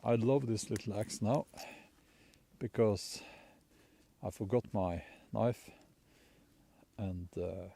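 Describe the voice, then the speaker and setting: A man's voice: fairly low pitch, slow, creaky, pauses often, dark tone, slightly rough. A man with a Norwegian accent talks casually to his camera in a spruce forest. He rambles in an easygoing way, with many fillers and restarts. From Norway. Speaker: bushcraft vlogger. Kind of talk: casual outdoor vlog talk. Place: outdoors in a snowy spruce forest. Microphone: camera on a tripod held as a selfie stick.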